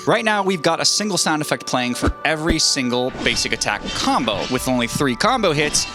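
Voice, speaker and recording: A man in his thirties narrating a video in a jokey, self-deprecating way, with casual asides, close on a handheld mic. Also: fairly high pitch. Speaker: YouTube host, game sound designer. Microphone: handheld microphone held near the mouth. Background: faint music.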